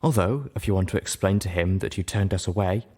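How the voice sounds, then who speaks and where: A man's voice: low voice, fairly quick, warm tone, husky. A man voicing a haughty female unicorn pony, lofty, silky and dramatic, in a storybook reading.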